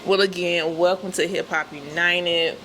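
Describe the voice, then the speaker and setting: A woman hosts a casual interview with a notably low voice. She keeps things upbeat and slangy, tossing quick prompts to her guest. A faint hiss of noise runs under her voice. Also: fairly even intonation. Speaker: interview host.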